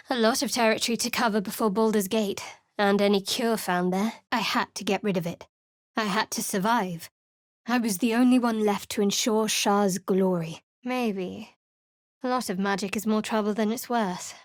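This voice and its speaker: A woman's voice: medium pitch, normal speed, dry recording, lightly acted. Woman playing a wry, guarded young cleric. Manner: dry, sardonic, clipped. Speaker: game companion. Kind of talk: studio game voice lines. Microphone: close studio mic.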